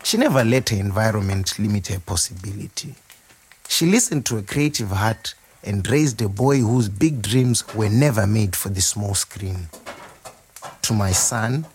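Slow-talking, proud chef. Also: male voice, fairly low pitch, slight background noise. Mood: proud, tender. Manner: expressive, reflective, wryly humorous. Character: warm, proud, playful Zimbabwean nurse turned chef. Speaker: storyteller in an audio monologue. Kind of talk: dramatic first-person monologue.

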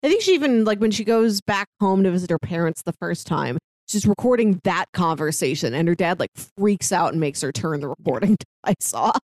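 A woman's voice: lowish voice, animated, a little fast, few pauses, a little mellow, no room sound. A woman co-hosting a review podcast, talking casually and analytically in an articulate, explanatory way. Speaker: podcast co-host reviewing audio dramas.

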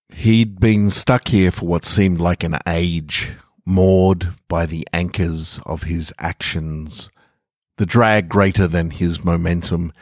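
An Australian man hosting a short-story podcast and reading fiction in an unhurried, informal way. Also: deep voice, no room sound, studio quality. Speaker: podcast host and story reader. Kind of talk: casual podcast talk and narrated short fiction.

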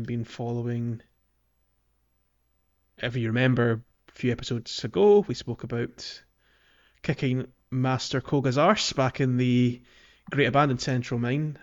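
A man's voice: medium pitch, fairly even intonation, measured pace, highs cut off. A man co-hosting a casual podcast about a video game, talking in a loose, chatty way with many fillers and restarts. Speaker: podcast co-host.

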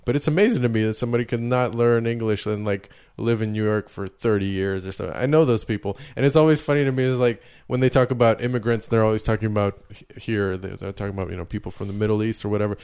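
Smooth-voiced man rambling casually. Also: on the low side, fairly even intonation, quick pace, few pauses, darkish tone. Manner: rambling, self-interrupting, chuckling. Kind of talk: casual chat.

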